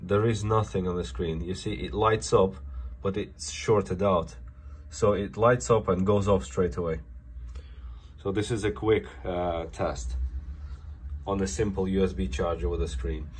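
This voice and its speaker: Low-voiced male repair tech, stuffed up with a cold, walks through a bench test plainly, audible room noise. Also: steady tone, measured pace. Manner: plain, explanatory, some restarts. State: has a cold. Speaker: phone repair technician. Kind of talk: casual hands-on repair demo. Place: repair workbench indoors. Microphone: phone camera held over bench.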